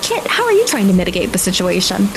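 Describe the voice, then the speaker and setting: A woman's voice: mid-range voice, huge pitch swings, fast, noisy background. A woman working as game master, improvising dramatic, vivid narration for a tabletop roleplaying game.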